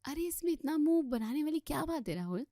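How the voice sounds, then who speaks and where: A woman voicing a sweet, tactful young daughter-in-law, gently persuading as the family peacemaker in a story. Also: sits high, animated, fairly quick, dry recording, studio quality, voice pushed up. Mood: cheerfully persuasive.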